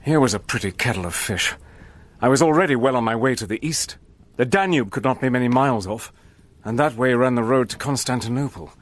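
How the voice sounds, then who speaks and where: An actor playing a resourceful British agent who narrates his own story in a calm, wry first-person voice. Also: mid-range voice, fairly quick, close to an everyday voice. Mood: reflective. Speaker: narrator and hero.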